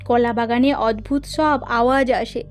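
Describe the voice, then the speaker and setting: A woman's voice: on the high side, fairly even intonation, measured pace, soft background music, lightly acted. A woman playing an anxious middle-aged village mother of a grown son, speaking earnestly and dramatically in a horror cartoon. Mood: fearful, confiding.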